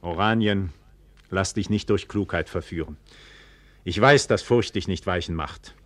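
A man's voice: low-pitched, measured pace, a bit bright, no room sound. An actor playing a proud, trusting, impassioned nobleman in a classical German radio drama, with emphatic, rhetorical delivery. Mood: urgent, pleading.

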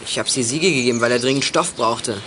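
An actor playing a shifty, menacing teenage drug courier in a youth radio play, talking in curt slang. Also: male voice, mid-range voice, fast, bright, forceful tone, natural voice. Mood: threatening. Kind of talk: 1980s German youth radio play acting. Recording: noisy old radio play recording.

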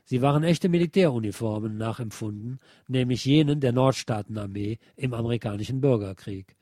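Male radio presenter, scripted book narration, relaxed dark tone, articulate, dry close sound. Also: mid-range voice, a little fast, dry recording. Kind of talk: scripted narration, read aloud from a nonfiction book.

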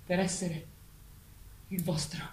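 A woman's voice: fairly low pitch, steady tone, slow pace, a little mellow. An actress playing a female farm animal who leads a revolution, declaiming solemnly like a manipulative preacher in a stage play. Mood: solemn, self-sacrificing. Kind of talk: theatrical stage monologue, political oratory. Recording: echoey stage, distant mic, faint noise.

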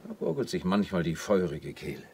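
An actor playing a calm, protective island recluse in a German radio play, speaking in a gentle, wry way. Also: male voice, low-pitched, fairly quick. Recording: clearly audible background ambience. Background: ambience noise.

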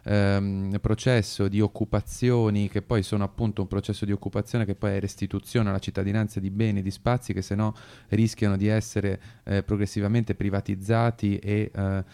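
Mellow, gravelly man hosting radio. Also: on the low side, level tone, normal speed, few pauses. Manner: hesitant, long explanatory sentences. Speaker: radio host. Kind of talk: unscripted radio talk on activism.

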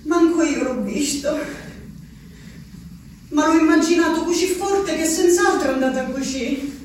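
A woman's voice: sits high, a little slow. An actress playing a restless peasant wife shut in an asylum, telling her story in an intimate, obsessive, repetitive way with an Abruzzo Italian accent, as a theatrical radio monologue. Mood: hurt, intimate. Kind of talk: theatrical radio drama monologue, reciting a letter. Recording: roomy, distant, noisy radio-theatre recording.

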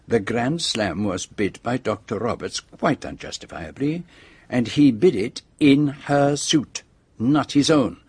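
A shrewd foreign sleuth in a radio mystery, speaking with a French-speaking accent in a close, dry sound. His voice is slightly husky, and he sets out each point precisely, with theatrical self-satisfaction. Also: medium pitch, dry recording. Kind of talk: radio drama acting.

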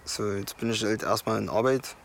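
A man speaks candidly and casually about himself as an interviewee. His voice sits slightly low, with faint ambient noise behind it. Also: steady tone, brisk, vocal fry. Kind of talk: casual, candid personal account.

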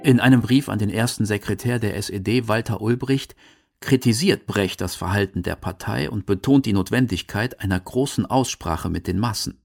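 A man's voice: lowish voice, normal speed, creaky, faint music behind. A man from Germany, reading scripted documentary narration.

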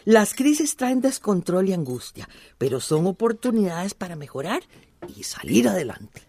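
Mellow, wise older mother. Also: mid-range voice, very animated, normal speed, husky. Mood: serene. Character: wise, cautious older mother of a grown son. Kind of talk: radio drama dialogue.

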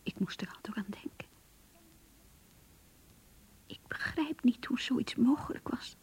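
An actress playing an anguished, lovesick young woman confiding in her diary in a radio play, hushed and intimate. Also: fairly high pitch, lively intonation, a little slow, no room sound, heavily acted, rough recording. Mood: heartbroken, bewildered. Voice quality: breathy, hushed, whispery. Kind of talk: Dutch radio play monologue.

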